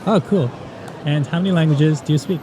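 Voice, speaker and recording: Canadian guy doing quick street interviews, talks fast, traffic noise around. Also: fairly high pitch, crowd noise. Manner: quick, friendly questioning. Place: outdoors on a city street. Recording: noisy city street.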